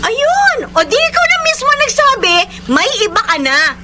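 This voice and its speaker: A woman's voice: very high voice, huge pitch swings, normal speed, heavily acted. An actress with a Filipino accent playing a jealous, possessive, volatile young girlfriend in a melodramatic radio drama. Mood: indignant. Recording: noisy background ambience.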